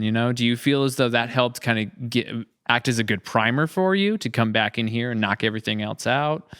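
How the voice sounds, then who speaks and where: Clear-voiced man hosting a casual podcast. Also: mid-range voice, a bit bright. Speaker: podcast host and series creator or director.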